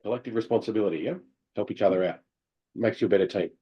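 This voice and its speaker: A man coaching amateur hockey players over a call, talking through game footage in an animated way and laughing often. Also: on the low side, very fast, husky, dull audio. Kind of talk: video review of game footage. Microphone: video call.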